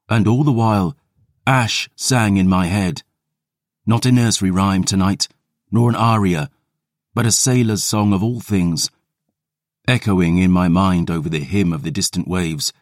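A man's voice: deep voice, no echo, studio quality, recorded from a distance. A man voicing a proud, battle-hardened female vampire warrior, telling of battle in an intense, grave, theatrical way. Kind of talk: dramatic first-person storytelling.

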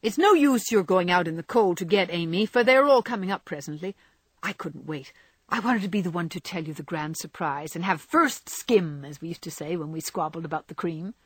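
A woman's voice: on the low side, brisk, breathy edge, no echo. A woman voicing a mischievous, boyish young married man in a storybook, with playful mock dignity.

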